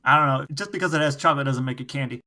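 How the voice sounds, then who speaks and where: A man's voice: medium pitch, very fast, sharp tone. A man co-hosting a podcast, chatting casually and giving his opinions. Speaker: podcast co-host.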